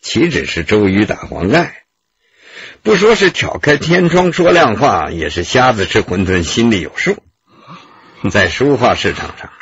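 Glib, wheedling art dealer, radio crime drama. Hoarse-edged, highly animated delivery. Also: male voice, dry recording, lo-fi sound, full character voice. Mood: smug. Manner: wordy, wheedling, self-justifying. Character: slippery, glib male art gallery owner under questioning. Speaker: actor in a police radio drama. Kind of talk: Chinese radio drama acting.

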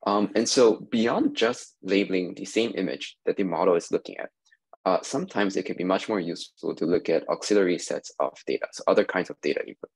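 A man giving a recorded conference talk over slides, measured and explanatory, with many "uh" fillers, on a webcam mic. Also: sits low, vocal fry, husky. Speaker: industry researcher giving a talk.

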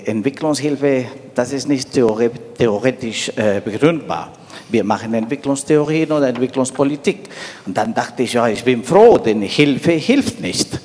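A man's voice: mid-range voice, a little mellow. Peruvian-accented man in a reverberant hall. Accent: Peruvian Spanish. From Peru. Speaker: audience member making a comment. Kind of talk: unscripted audience comment. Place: large wood-panelled conference hall. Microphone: handheld microphone.

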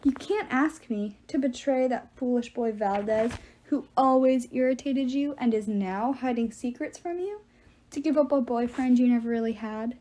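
A woman voicing an ancient male giant in an audiobook, mocking, patient and menacing, with deliberate mock courtesy. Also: on the high side, dark tone, close to an everyday voice. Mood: scheming. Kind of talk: audiobook character voice.

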